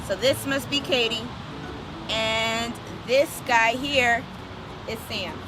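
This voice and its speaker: A woman's voice: fairly high pitch, takes it slowly, poor audio. A woman hosting a children's story time, reading aloud expressively and putting questions straight to young listeners, with music behind her. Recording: music under the voice.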